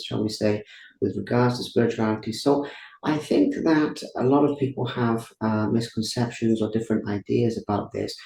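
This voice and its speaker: A man's voice: on the low side, gravelly, mellow. A man with a Welsh accent hosting a podcast interview, effusive and grateful in his introductions and questions. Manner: effusive and grateful, thanking at length. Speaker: podcast host. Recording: echoey room with faint hiss.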